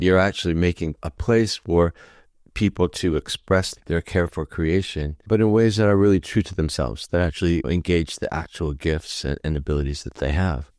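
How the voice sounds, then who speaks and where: Host, male, deep voice, affirming interviewer, dry close sound. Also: normal speed, darkish tone, no echo. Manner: affirming, probing questions. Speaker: podcast host.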